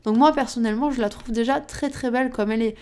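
A young woman talks through her game build in a loose, rambling way, full of little fillers. Her voice is hoarse and husky from illness. It sits a touch higher than most. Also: quick pace, a little mellow. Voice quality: husky, cracked voice. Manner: chatty, thinking aloud with many fillers. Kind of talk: casual gameplay commentary.

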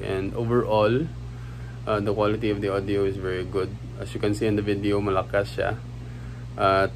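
A man in his thirties with a Filipino accent, giving a casual product review in a halting, hesitant way. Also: lowish voice. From Philippines. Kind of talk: casual unboxing and review chat mixing languages. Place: small room at home. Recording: noisy phone or camera recording.

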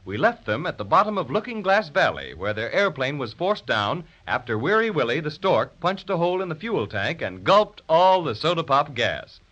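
A male announcer with a bright, animated, fairly high voice narrates a children's serial. The sound is a dull, band-limited 1930s radio recording. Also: moderate pace, hardly any pauses.

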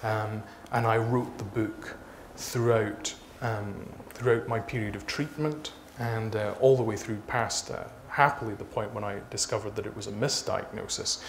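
A man in his thirties speaking haltingly as an author being interviewed on stage. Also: on the low side. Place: small dark stage before a seated audience. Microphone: lapel mic. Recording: noisy live event room recording.

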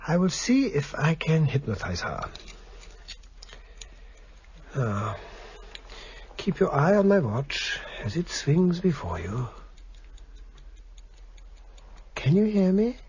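An actor playing a gentle, probing Viennese doctor in a radio drama, formal and precise in his questions. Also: fairly high pitch, big pitch swings, slow pace, long pauses, slight background noise. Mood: calm, probing. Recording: slightly dull radio recording.

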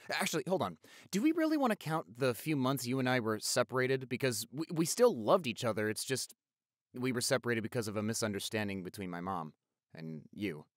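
A man's voice: medium pitch, dry recording, clean studio sound, lightly acted. A young man playing an affectionate, playful doctor boyfriend in an intimate audio roleplay, chatty and gushing as he talks to the listener. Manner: gushing, chatty, with repeated words and exclamations.

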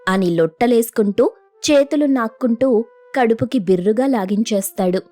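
A woman's voice: a little high, normal speed, warm tone. A woman narrating a scripted moral folk tale as the storyteller.

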